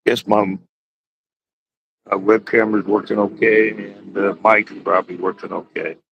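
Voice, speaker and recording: Deep raspy man chatting alone. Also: little pitch movement, a little slow, dull audio. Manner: rambling, talks to himself while waiting. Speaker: host of a live chat room. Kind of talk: casual solo chat to an empty room. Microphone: small external mic.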